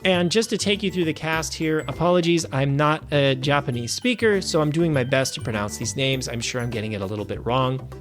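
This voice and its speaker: A man hosting a film review show, reading a scripted review with wry, animated asides. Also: medium pitch, brisk, soft background music. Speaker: film review podcast host.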